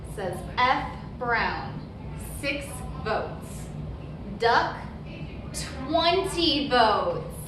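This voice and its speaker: A woman reading a children's picture book aloud as a storyteller, with deliberate, emphatic phrasing and brief asides. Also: a little high, sing-song. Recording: very echoey room, distant mic, noisy background.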